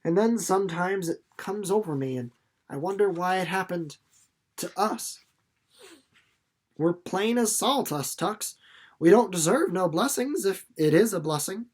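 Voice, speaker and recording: A man's voice: sits high, animated, measured pace. A folksy storybook country mother, as read aloud by a man. Her phrasing is rustic and homespun, and her temper is plain, motherly and resigned, with some bustle in it. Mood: resigned, musing.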